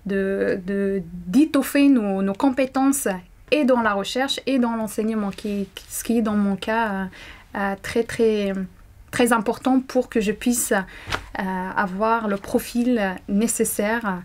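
A woman's voice: on the high side, steady tone, slow, a little hiss or hum. A young woman whose first language is Arabic, a doctoral student answering interview questions to camera, hesitant, with many fillers and restarts. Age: young adult. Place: indoor room.

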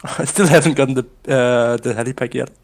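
A man's voice: medium pitch, fairly quick. A man in casual voice chat during a speedrun race, laughing often and teasing the others with a lot of swearing.

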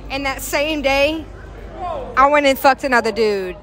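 A woman's voice: high voice, measured pace, fairly bright tone, some chatter behind. A young woman answering street interview questions bluntly and brashly, laughing often. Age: young adult. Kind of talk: casual chat. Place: outdoor street at night.

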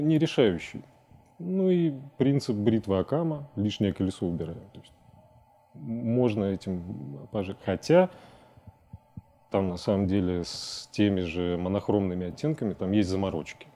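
A middle-aged man talking reflectively about his work as an interview guest in a studio, with halting phrasing. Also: on the low side, lively intonation, mellow. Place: TV studio, seated at a desk.

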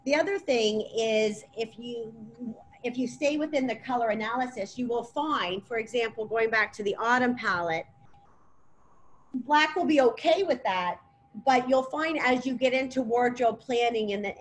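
A middle-aged woman presenting as a stylist in an informal webinar, explaining in a halting way over a video call. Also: fairly high pitch, poor audio. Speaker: colour analysis stylist presenting. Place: clothing boutique, indoors. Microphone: laptop or tablet on video call. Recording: video call with clear background noise.

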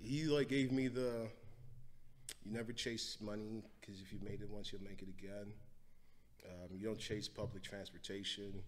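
A man's voice: lowish voice, fairly even intonation, moderate pace. A man in his thirties from Guyana, telling stories bluntly and swearing freely in a podcast studio interview. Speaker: podcast guest, wildlife photographer. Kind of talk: casual interview storytelling. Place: podcast studio with wood slat wall. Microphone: boom-arm studio mic.

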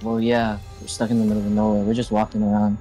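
A man's voice: lowish voice, monotone, fast talker, mellow, faint music behind, low-quality recording. A man improvising blunt, slangy roleplay chat in a video game. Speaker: roleplay player.

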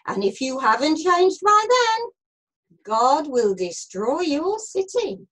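A woman voicing a reluctant, self-pitying male prophet in a children's story, with a groaning, drawn-out, whiny delivery. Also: very high-pitched, sing-song, heavily acted. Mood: loud warning.

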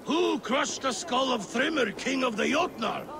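An actor playing a boastful, hot-headed Norse thunder god in a video game, bellowing and belligerent. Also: male voice, very high-pitched, lively intonation, a little slow, creaky, music in the background, full character voice. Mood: belligerent boasting. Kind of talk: video game cutscene acting.

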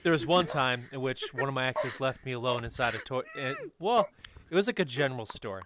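A man's voice: mid-range voice, expressive, fairly quick, noisy background. A man telling stories casually among friends, with other people chattering behind him.

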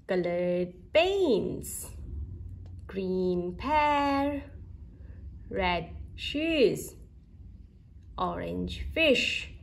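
A woman presenting a read-aloud for toddlers, saying single words and short phrases deliberately, with pauses between them. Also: fairly high pitch, fairly bright tone.

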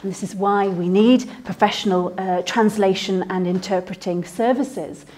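A woman researcher speaking thoughtfully in an interview, pausing on small fillers. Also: mid-range voice, steady tone, a little mellow, slight background noise. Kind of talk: interview statement.

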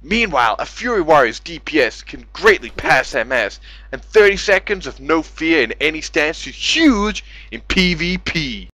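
A man's voice: fairly high pitch, big pitch swings, moderate pace, bright, forceful tone, no echo. A man doing a sarcastic, exasperated, profane dramatic reading of forum posts, exaggerating as he goes. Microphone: close mic on gaming voice chat.

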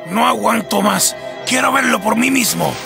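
A man voicing a grumpy, nervous forest animal in a cartoon, gruff and anxious in manner. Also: high voice, animated, moderate pace, over music, exaggerated voice. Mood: impatient. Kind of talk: cartoon voice acting.

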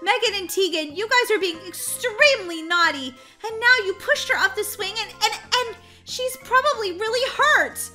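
High, bright, fussy babysitter. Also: female voice, expressive, normal speed, soft background music. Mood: alarmed. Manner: exaggerated, sing-song scolding and coaxing. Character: young female babysitter, fussy and exasperated. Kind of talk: children's game roleplay acting.

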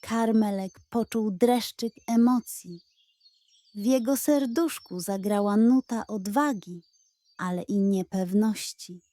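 A woman narrating a scripted children's bedtime story in a gentle, expressive storytelling manner. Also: medium pitch, slow, no room sound.

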